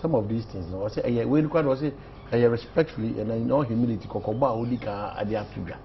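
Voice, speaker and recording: A Ghanaian man hosting a morning newspaper review, making short interjections in a soft, trailing way. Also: mid-range voice, a little fast, lo-fi sound, a little hiss or hum.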